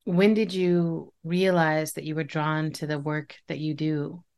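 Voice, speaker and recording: A woman's voice: deep voice, little pitch movement, a little slow. A woman hosting a podcast interview, asking questions and often affirming her guest. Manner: warm, affirming listener with frequent small agreements. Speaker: podcast host. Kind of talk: conversational interview.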